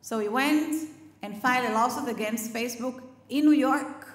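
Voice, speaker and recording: Middle-aged woman, high voice, emphatic conference speaker on a headset mic in a big echoey hall. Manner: measured, emphatic, list-like delivery. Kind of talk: prepared public speech.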